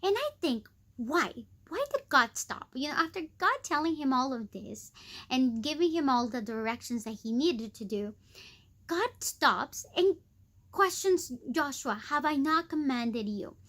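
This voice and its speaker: A woman hosting a weekly devotional talk, earnest and encouraging, conversational with many fillers, with a squeaky voice. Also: sing-song, unhurried, fairly bright tone. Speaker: host of a weekly devotional video. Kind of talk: devotional teaching.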